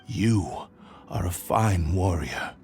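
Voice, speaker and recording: A man's voice: low-pitched, expressive, slow pace, a little mellow, soft background music, full character voice. A man playing a remorseful, proud old sword spirit in a dramatic game, speaking solemnly and formally. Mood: solemn, regretful. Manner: solemn, formal, archaic phrasing. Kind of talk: dramatic English game voice acting.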